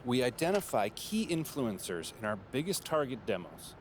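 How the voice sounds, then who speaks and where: An actor voicing a polished, data-obsessed male marketing executive in a video game, speaking in smooth corporate terms. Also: mid-range voice, very animated, moderate pace, a little hiss or hum, natural voice. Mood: businesslike. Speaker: head of brand and marketing. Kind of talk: video game cutscene dialogue.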